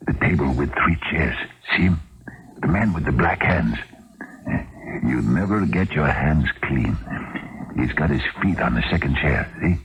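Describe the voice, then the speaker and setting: An actor playing a scheming, talkative young vagrant in old Russia, with a hesitant, ingratiating manner, in a 1970s American radio drama. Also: male voice, extremely low pitch, lively intonation, a little slow, fairly bright tone, highs cut off, a little hiss or hum, exaggerated voice. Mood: sly, conspiratorial.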